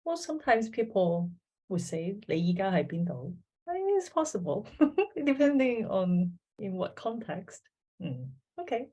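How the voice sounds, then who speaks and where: A woman's voice: medium pitch, very animated, a little slow, dark tone, husky. A woman speaking in an online panel discussion, hesitant, with short interjections, heard over a video call. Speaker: roundtable speaker.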